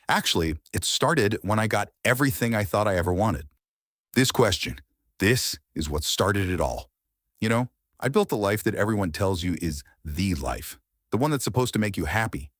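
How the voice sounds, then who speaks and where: Lively, low-voiced man telling his story. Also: normal speed, no echo, studio quality. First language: Farsi. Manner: reflective, animated storyteller. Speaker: meditation teacher and life coach. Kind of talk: personal storytelling podcast monologue.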